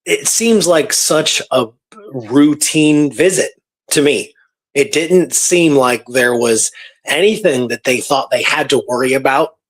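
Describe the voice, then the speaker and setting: Slightly husky man musing in casual discussion. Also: mid-range voice, unhurried. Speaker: co-host. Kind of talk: casual discussion of a historical story.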